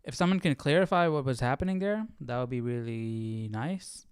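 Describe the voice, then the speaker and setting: A man with a clean, resonant, mid-pitched voice hosts a casual scripture study. He reads a little, then thinks aloud in an informal, questioning manner, with very dry, close sound. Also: normal speed, smooth, dry recording. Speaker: Bible study livestream host.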